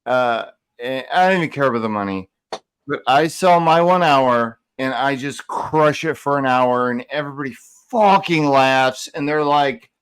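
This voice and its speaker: A man's voice: medium pitch, normal speed, clear, resonant tone. A man co-hosting a podcast in casual conversation, emphatic and repeating phrases for stress. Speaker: podcast co-host.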